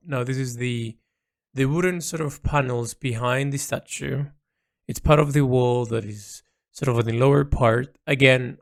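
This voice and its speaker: A man giving informal audio log commentary on his own artwork, speaking in a halting, reflective way with pauses mid-phrase. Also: medium pitch, gravelly. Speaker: artist narrating own project log.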